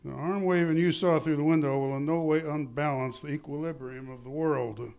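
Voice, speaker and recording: A man's voice: on the high side, steady tone, brisk, dull audio, lightly acted. A man playing a pompous, haughty small-town husband and father, wordy and condescending, in 1940s-style radio comedy. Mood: haughty, scornful. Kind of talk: 1940s radio comedy acting, live on stage. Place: live theatre stage.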